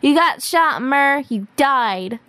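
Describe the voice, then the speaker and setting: High-voiced, innocent little schoolgirl played by a woman. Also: expressive, slow, bright, forceful tone, no echo, heavily acted. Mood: confused. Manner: naive, earnest. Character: innocent, prim little schoolgirl superhero sister.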